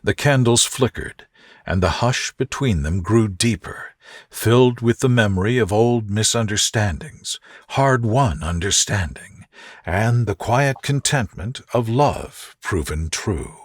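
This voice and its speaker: A man narrating a bedtime story in a soft, gentle, soothing and unhurried manner. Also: lowish voice, animated, husky, no room sound, studio quality. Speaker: narrator, reader.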